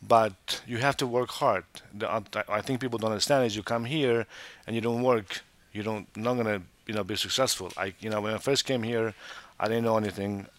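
A man's voice: lowish voice, steady tone, a little fast, a bit bright, no echo. A man from Montenegro who owns restaurants, talking casually in an interview with many restarts and fillers. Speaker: restaurant owner.

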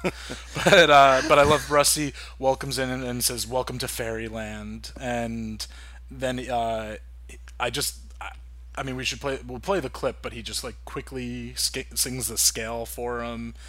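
A man co-hosting a casual film podcast, talking in a rambling way with many halting restarts. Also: medium pitch, fairly even intonation, bright, forceful tone. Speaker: podcast co-host.

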